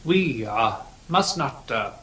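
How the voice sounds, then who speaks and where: An actor playing a learned, excitable gentleman explorer in a horror radio play, musing and exclaiming in hesitant, scholarly fashion. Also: mid-range voice, slow. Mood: awed fascination. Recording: echoey, noisy recording with ambience.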